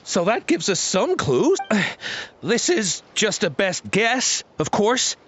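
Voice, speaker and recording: A man playing a cowardly hired henchman in a video game, nervous and stammering, quick to plead. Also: high-pitched, sing-song, fairly bright tone, husky, heavily acted. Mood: placating.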